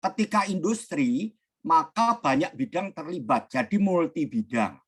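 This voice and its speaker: A middle-aged male lecturer with a raspy, hoarse voice, giving an online lecture over a computer mic and explaining slowly and deliberately. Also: fairly high pitch, animated, fairly bright tone. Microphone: computer mic on a video call. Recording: noisy call line.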